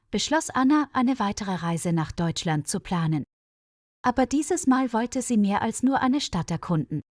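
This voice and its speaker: A woman reading a scripted audio story for language learners in a measured, clearly phrased storytelling manner. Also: mid-range voice, a little mellow, no room sound, studio quality. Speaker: narrator of German-learning stories.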